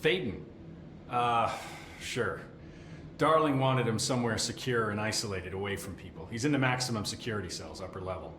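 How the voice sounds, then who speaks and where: An actor playing a fussy, deadpan middle-aged keeper of strange items, with dry rambling talk and awkward fillers. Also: male voice, lowish voice, moderate pace, natural voice. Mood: nervous. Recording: echoey game cutscene with faint hiss.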